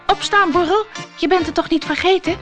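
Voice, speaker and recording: A woman voicing a bossy, excitable young girl starfish for a children's storybook. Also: very high-pitched, brisk, music in the background, pitched above the performer's own voice. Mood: excited, bossy. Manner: exclaiming, impatient, emphatic.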